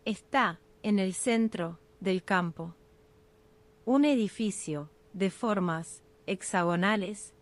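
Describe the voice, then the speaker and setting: A woman reads a guided meditation for sleep at a very slow pace. Her pitch sits in an average female range. She speaks in short phrases and leaves unhurried pauses between them, in the style of scripted ASMR narration.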